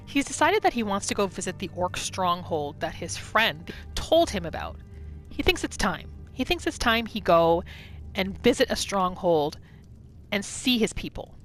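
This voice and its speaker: Female roleplay gamer, medium pitch, third-person storytelling about her character, game music underneath. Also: a bit bright. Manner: speaks a character's thoughts as storytelling. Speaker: gaming roleplay host. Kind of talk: improvised third-person narration of video game play. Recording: music behind the voice.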